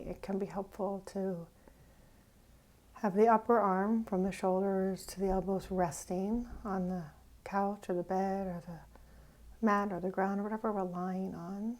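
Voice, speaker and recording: A woman with a soft-edged, dark and relaxed voice leads a guided meditation at a very slow pace. She is gentle and leaves pauses between phrases. Her words often trail away into a breathy near-whisper. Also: medium pitch. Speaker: meditation teacher. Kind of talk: guided meditation, unhurried and instructive.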